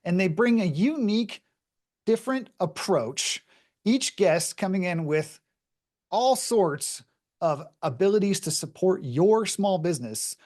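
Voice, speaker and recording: Man, podcast host, upbeat, emphatic, fairly high pitch. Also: lively intonation, measured pace, a little mellow. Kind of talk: interview hosting.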